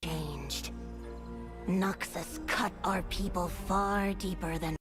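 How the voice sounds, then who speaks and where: An actress playing a solemn fantasy game heroine, speaking in a grave, measured way. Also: female voice, lowish voice, steady tone, over music. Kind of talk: dramatic game voice lines.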